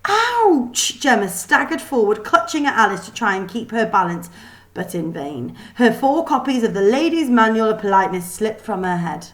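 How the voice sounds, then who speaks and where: A woman's voice: mid-range voice, very animated, normal speed, fairly bright tone. Woman narrating a storybook with dramatic emphasis. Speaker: teacher reading a book aloud. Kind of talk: expressive children's storybook narration.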